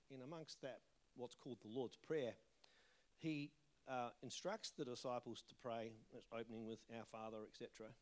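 A man preaching as a visiting pastor in a church auditorium, conversational with joking asides and emphatic rises on key words, his voice carrying an occasional croak from the tail end of a cold. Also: mid-range voice. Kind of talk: sermon with prayer.

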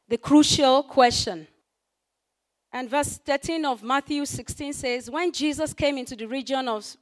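A woman with a croaky voice preaching to a church congregation, talking in a conversational way with many fillers and rising in force on scripture. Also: fairly high pitch. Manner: conversational, peppered with "you know" and fillers; rises in force for scripture and emphasis. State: croaky voice recovering from voice loss. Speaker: guest preacher. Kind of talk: sermon.

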